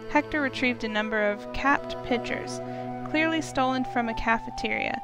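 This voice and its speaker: A woman's voice: fairly high pitch, moderate pace. A woman narrating a visual novel as a scripted story, reading descriptive prose aloud. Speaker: narrator, game player. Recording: loud music and rain behind. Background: game music and rainfall.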